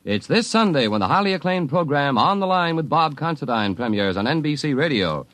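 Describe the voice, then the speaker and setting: A man's voice: on the high side, big pitch swings, a little fast, fairly bright tone, no room sound. A man reading a polished, formal network promotion in 1950s radio announcer style.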